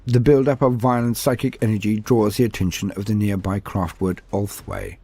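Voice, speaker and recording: A man's voice: on the low side, steady tone, moderate pace, dark tone. A man reading a scripted, dramatic narration of fantasy war lore as a storyteller.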